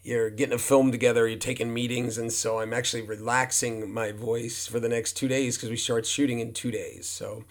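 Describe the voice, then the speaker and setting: A hoarse man, an indie film producer, talking frankly as a podcast guest, rambling and repeating himself. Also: medium pitch, flat delivery, fairly quick, few pauses. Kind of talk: casual podcast guest talk. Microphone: speaking near a humidifier.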